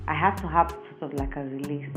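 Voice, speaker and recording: A Kenyan woman speaks in a slightly low voice, as a singer being interviewed. She tells personal stories in a casual, emphatic way, drawing out some words. Soft music plays behind her. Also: fast talker, muffled recording. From Nairobi, Kenya.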